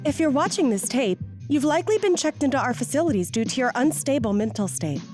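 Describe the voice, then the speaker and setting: An actress plays a female announcer for an institutional orientation tape in an audio drama. She has a fairly high voice and a polished, saccharine sales delivery with something sinister under it. Music plays clearly behind her. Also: animated, fairly quick, darkish tone. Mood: falsely cheerful. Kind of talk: scripted promotional video narration.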